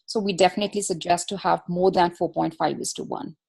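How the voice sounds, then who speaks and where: Woman expert answering on a webinar, raspy with lots of fry, bit muffled. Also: lowish voice, steady tone, quick pace, a little mellow. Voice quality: husky, creaky. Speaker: accessibility expert on a webinar panel. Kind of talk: brief informal expert answers. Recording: muffled, band-limited webinar audio.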